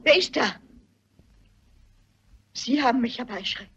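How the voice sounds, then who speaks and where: An older actress playing a shrewd, polite, dignified elderly woman sleuth posing as a housekeeper in a German radio play, with a husky voice. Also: fairly high pitch, unhurried, background noise. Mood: guarded. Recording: dull, muffled radio play recording.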